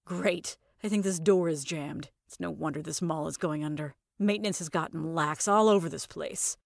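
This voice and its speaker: A woman's voice: sits low, moderate pace, vocal fry, no room sound, clean studio sound, voice pushed down. Woman voicing a snooty, icy older mother-in-law. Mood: haughty irritation. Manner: snarky, condescending, clipped. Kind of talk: comic audio fiction.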